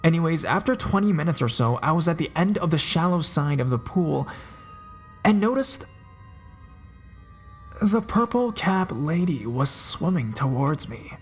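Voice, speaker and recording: A man with a fairly high-pitched voice reads true ghost stories aloud in scripted horror narration. He sinks lower and slows down at the tense moments, pulling the listener in. Quiet music plays underneath him. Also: expressive. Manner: dramatic, drops low and slows for suspense. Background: faint music.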